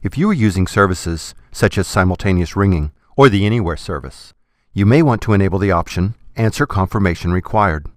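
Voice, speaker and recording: A man giving scripted, step-by-step software tutorial narration in a methodical, instructional manner. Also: low-pitched, expressive, fairly quick, no room sound, clean studio sound.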